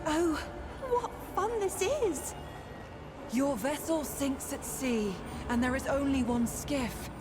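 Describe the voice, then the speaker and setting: A mysterious, otherworldly female seer for a fantasy game. The voice sits a little high and moves slowly, riddling and playfully probing. Music is clearly audible behind it. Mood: delighted, then measured, storytelling. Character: ethereal wandering female seer spirit, playful, cryptic, probing. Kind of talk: theatrical fantasy game dialogue.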